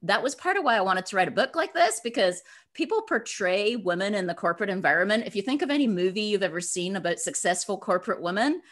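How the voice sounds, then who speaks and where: A middle-aged woman giving conversational interview answers as a guest author, animated and given to acting out quotes. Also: mid-range voice, fast. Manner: animated, acts out imagined quotes.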